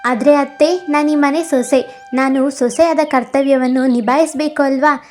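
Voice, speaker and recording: A woman's voice: high voice, fairly even intonation, normal speed, darkish tone, faint music behind, lightly acted. A woman voicing a sweet young bride. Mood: earnest. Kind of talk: animated storybook voice acting.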